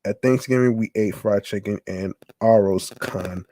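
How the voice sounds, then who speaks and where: A man with a lowish, mellow voice reads a long text aloud on his podcast. He moves very slowly, often stumbling and restarting mid-word. The sound is close and dry. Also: no echo. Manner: halting reading, stumbling and restarting over words. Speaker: podcast host.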